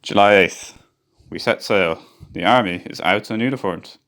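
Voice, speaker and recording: An actor narrating a radio play as a reflective ship's engineer, telling his memories in a measured, diary-like way. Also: on the low side, a bit bright, lightly acted. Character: reflective male ship's engineer recalling a doomed Arctic voyage.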